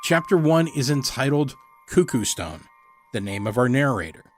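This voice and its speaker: A man's voice: medium pitch, sing-song, normal speed, soft background music. A man hosting a fiction podcast, reading a scripted introduction. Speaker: podcast host.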